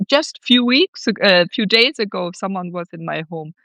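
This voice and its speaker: A woman's voice: mid-range voice, animated, gravelly, sharp tone, no room sound. Earnest woman hosting a reflective interview. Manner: earnest, halting delivery with repeated words. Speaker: podcast host.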